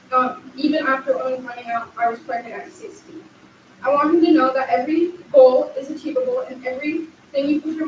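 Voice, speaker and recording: High young woman, echoey hall. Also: darkish tone. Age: young adult. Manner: earnest and heartfelt, reading aloud. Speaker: graduating student giving a speech. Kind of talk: prepared graduation speech. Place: large echoey hall at a graduation ceremony. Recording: echoey hall, distant mic, faint noise.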